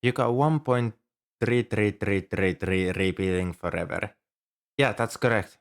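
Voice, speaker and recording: A man's voice: on the low side, measured pace. A man performing an ASMR roleplay as a shy, earnest young student librarian, speaking in a hesitant, helpful and apologetic way. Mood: patient, helpful. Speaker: amateur audio roleplay performer. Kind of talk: ASMR roleplay, scripted one-sided dialogue.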